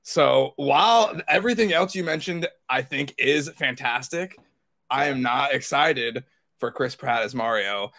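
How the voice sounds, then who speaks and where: A man's voice: mid-range voice, measured pace, bright, forceful tone. A man chatting casually and informally as a podcast panelist, swearing freely. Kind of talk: casual chat.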